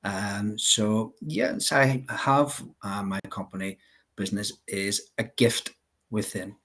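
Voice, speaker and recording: A man's voice: lowish voice, fairly even intonation, slow pace, a bit bright. A man with a Belfast accent, a life coach guest explaining his ideas earnestly, with many fillers. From Northern Ireland. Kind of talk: interview chat.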